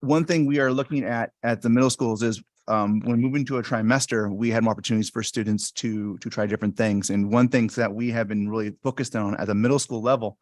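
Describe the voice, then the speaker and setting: A soft-spoken man presenting school fees at a public board meeting, talking into a stand microphone with many ums and restarts. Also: on the low side, steady tone, fast talker, hardly any pauses, no echo, studio quality. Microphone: stand microphone at a meeting table.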